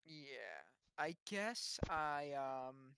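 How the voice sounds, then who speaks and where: A man with a bright, somewhat high voice talks casually as a player in a tabletop game. He sounds tentative, working out his options aloud, in a very dry recording. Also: animated, very slow, vocal fry, clear, resonant tone, dry recording.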